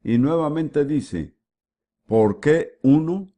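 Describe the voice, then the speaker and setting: A man giving the voice-over for a sermon, with a measured preaching delivery and frequent rhetorical questions. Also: on the high side, animated, mellow. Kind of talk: dubbed sermon.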